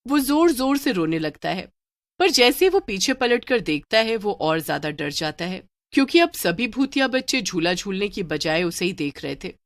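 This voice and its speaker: A woman's voice: medium pitch, sing-song, moderate pace, no echo, studio quality. A woman narrating a scripted cartoon horror story as a storyteller.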